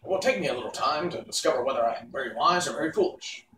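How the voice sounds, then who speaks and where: A man's voice: mid-range voice, lively intonation, rapid-fire, echoey room, distant mic, background noise, lightly acted. A man voicing a cheerful, newly made pumpkin-headed man who talks eagerly and confidently. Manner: eager, chatty and self-assured.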